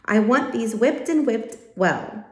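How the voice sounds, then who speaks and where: A woman voicing a stern, commanding, deliberate father in a children's storybook. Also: a little high, lively intonation. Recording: roomy, echoing home-style recording.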